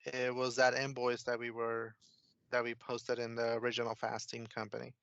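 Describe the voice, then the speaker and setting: Male software consultant, informal explainer, steady even intonation, dry close sound. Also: medium pitch, normal speed, creaky, clear, resonant tone, no room sound, dull audio. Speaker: software consultant, demo presenter. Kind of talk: casual screen-share software walkthrough.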